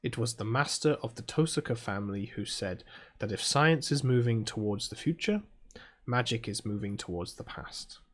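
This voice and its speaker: A man with a British accent reads visual novel text aloud and adds casual asides as a gaming video host, in a soft-spoken, chilled ASMR-like way. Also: mid-range voice, lively intonation, moderate pace.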